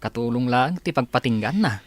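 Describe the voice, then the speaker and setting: A man's voice: mid-range voice, lively intonation, brisk, dry recording, lightly acted. A man playing a gossipy, chatty mansion worker in an Ilocano radio comedy drama. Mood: gossipy, amused.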